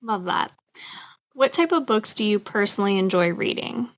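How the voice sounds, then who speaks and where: A woman with noticeable vocal fry hosts an interview. Her voice sits at an average pitch as she puts conversational questions to her guest. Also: lively intonation, normal speed. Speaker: interview host.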